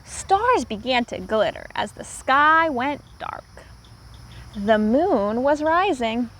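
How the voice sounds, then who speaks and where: A woman teacher reading aloud to young children, pausing to explain words simply and repeating herself for clarity. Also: high voice, sing-song, fairly bright tone, a little hiss or hum.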